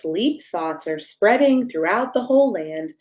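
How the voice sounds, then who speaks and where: A woman with a medium-pitched voice reads a bedtime picture book aloud. She delivers the rhymes expressively and playfully, as a storyteller speaking straight to small children. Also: animated, unhurried, a little mellow. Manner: playful, addressing young listeners directly with questions. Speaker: pastor reading a bedtime story.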